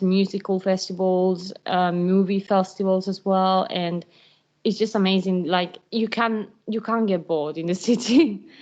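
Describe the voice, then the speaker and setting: A woman's voice: medium pitch, darkish tone. A woman in her twenties from Spain, speaking informally as a student ambassador on an online call. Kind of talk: informal presentation on a virtual call. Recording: dull, lo-fi online call audio.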